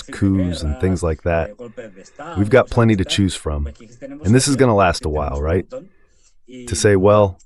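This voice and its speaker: A synthetic male voice delivering translated political commentary, with original speech heard underneath. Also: low-pitched. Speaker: AI voice reading the guest's English translation. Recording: other voices murmuring underneath. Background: original Spanish speech underneath.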